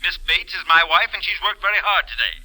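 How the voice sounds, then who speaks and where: A man's voice: high voice, lively intonation, brisk, pushed and bright, no room sound, full character voice, low-quality recording. An actor playing a stuffy, protective businessman, prim and firmly formal, speaking as a telephone voice in an old-time radio drama. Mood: stiffly indignant. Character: stuffy, protective businessman husband, politely indignant.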